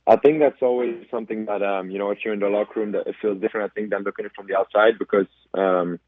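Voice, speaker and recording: A man's voice: on the low side, steady tone, quick pace, no room sound. A man in his twenties, a soccer player guest answering radio interview questions thoughtfully, with many ums and restarts. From Belgium. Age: 20s. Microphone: phone call. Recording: tinny phone line.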